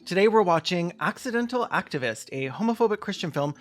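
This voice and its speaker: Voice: medium pitch, animated, a little fast. Campy, sarcastic reaction video host. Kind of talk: comedic reaction commentary.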